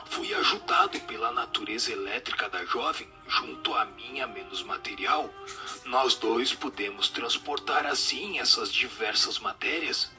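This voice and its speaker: A mischievous wandering spirit of an old-time street ragpicker, played by a man, speaks in a radio drama. The voice is sharp and forceful, with playful, breathy turns, over a bed of music. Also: medium pitch, a little slow. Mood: playful, boastful. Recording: music under the voice.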